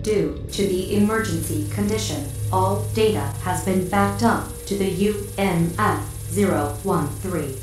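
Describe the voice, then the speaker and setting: An actress playing a cold, authoritative computer intelligence in a video game, making formal, detached, precise announcements. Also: female voice, lowish voice, unhurried, few pauses, natural voice. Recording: heavily echoing, music behind. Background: music.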